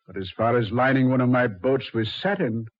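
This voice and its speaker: A man playing a suave, mocking Satan who ferries dead souls, unhurried and sardonically polite, in 1940s radio horror style. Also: medium pitch, no room sound, natural voice. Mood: mocking, smooth. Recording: dull 1940s radio recording.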